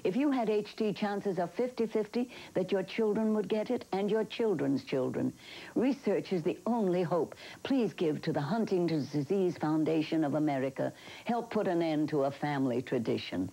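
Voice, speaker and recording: An elderly woman in her seventies reads an earnest charity appeal. Her voice is rather low and mellow. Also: lively intonation, normal speed, poor audio. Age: 70s. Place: living room, indoors.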